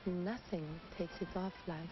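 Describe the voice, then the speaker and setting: A woman's voice: lowish voice, fairly even intonation, normal speed, lightly acted. A woman playing a seductive temptress in a vintage shaving-cream commercial. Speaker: commercial spokeswoman. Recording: dull old TV ad, music behind.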